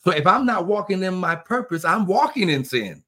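A man's voice: sits high, big pitch swings, moderate pace, a bit bright. A man in a faith talk conversation, speaking in a preacherly way, quoting scripture and building to emphatic peaks.

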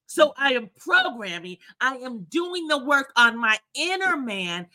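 Slow, earnest bright-voiced woman. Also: high-pitched, very animated. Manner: earnest, declares affirmations with emphasis. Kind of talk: faith talk conversation.

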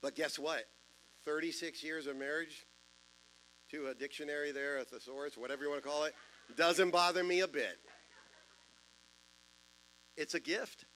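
A man in his fifties with a deep voice, preaching a sermon in a church in a conversational way, with self-deprecating jokes and asides. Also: steady tone, normal speed, long pauses, sharp tone, rough recording. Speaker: pastor. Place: church sanctuary.

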